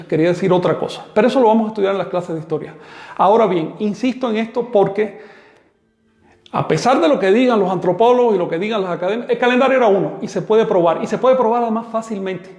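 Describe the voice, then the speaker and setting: A man's voice: high voice, quick pace, a little mellow. Firm man lecturing, echoey room. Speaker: teacher. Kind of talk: live online class answering questions. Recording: echoey room with long reverb.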